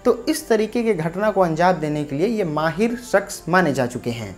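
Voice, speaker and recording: A woman with a fairly low voice narrates a local news report. She addresses viewers directly as a presenter, with light music playing underneath. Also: animated, normal speed. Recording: faint music bed behind voice.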